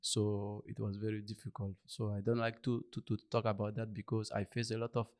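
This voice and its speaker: Halting low-voiced man, Ivorian French accent. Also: monotone, a little mellow. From Ivory Coast. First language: Bambara. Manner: halting, searching for English words with many fillers. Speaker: interview guest. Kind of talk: conversational interview.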